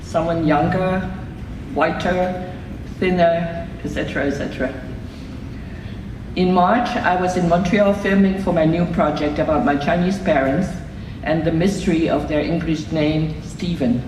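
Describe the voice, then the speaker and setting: Woman, older, from Hong Kong, measured speech reading, distant echoey room, noisy background. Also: lowish voice, fairly even intonation. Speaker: award recipient giving acceptance speech. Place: reception hall.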